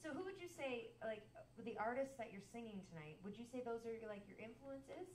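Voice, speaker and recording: A woman singer-songwriter chatting on a small cafe stage, bubbly and quick to laugh. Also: on the high side, lively intonation, darkish tone, slight background noise. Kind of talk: live stage chat between songs. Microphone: stand mic, far camera. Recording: roomy live room, far from mic.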